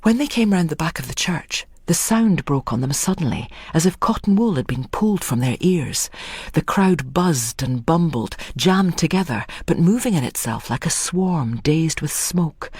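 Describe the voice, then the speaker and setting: Husky storyteller in a very dry recording. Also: medium pitch, no echo. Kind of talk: scripted dramatic story narration.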